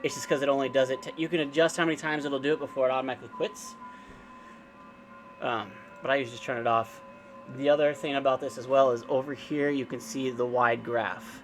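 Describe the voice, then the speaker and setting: A man giving an informal, unscripted software walkthrough, explaining step by step in a casual way. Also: medium pitch, steady tone, fast talker, soft background music. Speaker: amateur radio operator giving a software tutorial. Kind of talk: informal screen-share tutorial, unscripted walkthrough.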